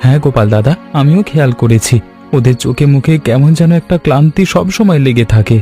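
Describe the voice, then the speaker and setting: A man's voice: medium pitch, fairly quick, mellow, soft background music, lightly acted. A man voicing a caring, worried village farmer husband in a scripted cartoon story. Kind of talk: scripted animated story dialogue.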